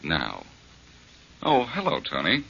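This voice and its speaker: An actor playing a cool, wisecracking male detective in a 1940s radio drama, speaking in a dry, mocking, unhurried way. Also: rumbling low, wildly swooping pitch, creaky, bright, forceful tone, slight background noise, full character voice. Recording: muffled old radio broadcast.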